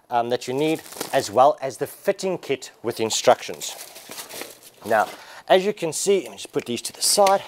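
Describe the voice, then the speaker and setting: A man's voice: mid-range voice, a little mellow, slight background noise. A man, explaining a product in a casual walkthrough inside a large warehouse showroom. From Australia. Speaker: product presenter.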